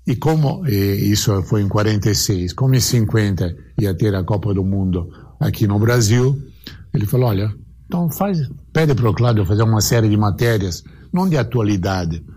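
A man's voice: lowish voice, lively intonation, mellow, husky. An older man who grew up in Italy, telling family stories unhurriedly in an archived interview. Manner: unhurried, anecdotal, quotes relatives.

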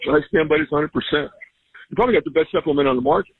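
Fast, husky man on a phone line. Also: fairly high pitch, no room sound. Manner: fast, insistent. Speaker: customer giving a testimonial. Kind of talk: phone-in testimonial.

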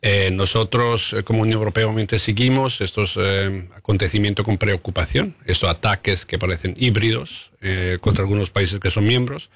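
A middle-aged man, an ambassador whose first language is Italian, giving measured interview answers with many hesitations and tag questions. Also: on the low side, fairly even intonation, a bit bright, no room sound, studio quality. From Italy. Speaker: EU ambassador, guest.

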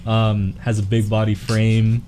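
A man's voice: lowish voice, monotone, unhurried, mellow, slight background noise. A man in his twenties, a panelist in a casual group chat on a sports show, talking in an easygoing, hesitant way with many fillers. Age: 20s.

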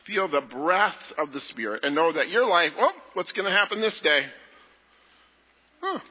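A man leading a children's message in a church service, talking to the children in a gentle, playful way. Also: on the high side, very animated, moderate pace, slightly rough. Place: large church sanctuary. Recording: echoey hall, dull lo-fi sound.

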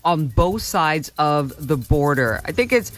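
A woman's voice: low-pitched, lively intonation, a little slow, soft background music. A woman introducing a local news story as a radio reporter.

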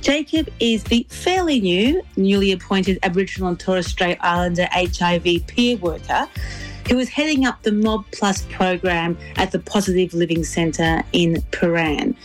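A woman hosts a radio interview in a friendly, easygoing way. She often repeats her words as she works out a question. Faint music sits quietly behind her. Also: fairly low pitch, normal speed, poor audio. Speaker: radio show host.

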